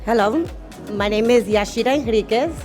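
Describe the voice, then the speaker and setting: A woman's voice: fairly high pitch, normal speed, over music. A young woman from the Bronx, briefly introducing herself as a panelist. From Bronx, New York.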